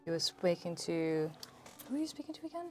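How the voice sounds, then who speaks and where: A woman's voice: fairly low pitch, very animated, brisk, soft background music. A woman hosting a livestream chat in an informal, slightly hesitant way. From South Africa. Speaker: Twitch streamer, host.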